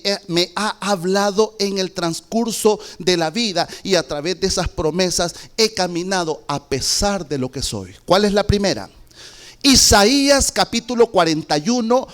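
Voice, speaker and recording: Salvadoran guy preaching in church, kinda high voice, drawn out and slow, yells now and then. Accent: Salvadoran Spanish. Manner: slow, emphatic, with sudden shouted peaks. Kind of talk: testimony sermon.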